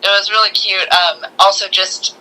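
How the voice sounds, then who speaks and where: Very bright-voiced woman chatting informally. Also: medium pitch, steady tone, poor audio. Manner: informal, halting, frequent "like". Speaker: podcast co-host. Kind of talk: casual film review chat. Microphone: remote internet call.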